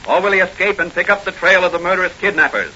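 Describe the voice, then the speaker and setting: Bright, high-voiced male vintage radio announcer. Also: fast talker. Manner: dramatic, declamatory. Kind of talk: 1940s radio scripted narration. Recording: muffled, noisy old-time radio recording.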